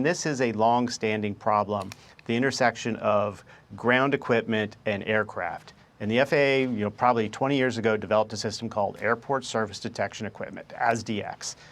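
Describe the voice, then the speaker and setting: A man, a former government spokesman, giving unscripted expert commentary in an interview, often repeating words as he starts. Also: mid-range voice.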